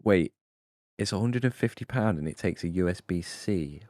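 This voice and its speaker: A man's voice: sits low, lively intonation, normal speed, darkish tone, dry recording, studio quality. A British man bantering casually with friends during a game stream, teasing and argumentative. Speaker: gamer friend on a voice call.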